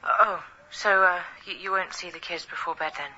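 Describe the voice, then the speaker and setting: A woman's voice: fairly low pitch, fairly even intonation, moderate pace, bright, forceful tone, dull audio, slight background noise. A woman playing a puzzled young wife and mother in a radio comedy, questioning and hesitant. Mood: let down.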